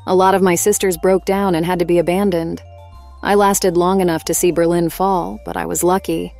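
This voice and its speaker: A woman's voice: fairly low pitch, flat delivery, moderate pace, gravelly, a little mellow, faint music behind. A woman playing a frank, cheeky personified heavy tank in a scripted audio drama. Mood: wry. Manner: frank, wry.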